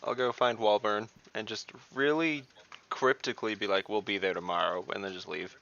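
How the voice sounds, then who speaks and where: A man's voice: on the low side, fairly even intonation, normal speed, vocal fry, bright, forceful tone. A man playing an online tabletop game, chatting casually as he plans what his character will do. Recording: dull, muffled online audio.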